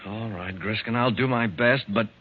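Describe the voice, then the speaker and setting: A man's voice: fairly low pitch, normal speed, husky. An actor playing a thin, nervous, guilt-ridden man in a 1940s radio mystery, anguished and pleading. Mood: subdued, reluctant. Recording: narrow-band scratchy 1940s radio recording.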